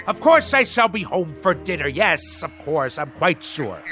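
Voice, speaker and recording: An actor playing a pompous, navy-obsessed husband in a radio drama, with florid, old-fashioned diction. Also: male voice, a little high, sing-song, unhurried, sharp tone, soft background music, heavily acted. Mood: blustering, impatient.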